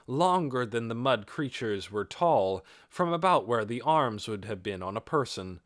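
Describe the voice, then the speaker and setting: Male narrator for fantasy audiobook: dramatic, lively intonation, deliberate phrasing, mid pitch, close dry sound. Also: no echo. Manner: measured, deliberate phrasing, expressive.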